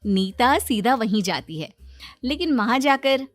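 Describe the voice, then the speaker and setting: A woman's voice: a little high, lively intonation, a little slow, dry recording. A woman narrating a scripted children's story as a storyteller.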